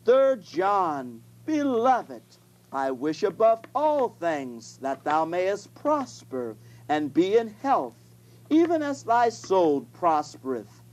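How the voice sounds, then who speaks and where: A reader delivering scripture in a deliberate manner, halting at times. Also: mid-range voice, big pitch swings, darkish tone, poor audio. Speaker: Bible reader. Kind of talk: scripture reading.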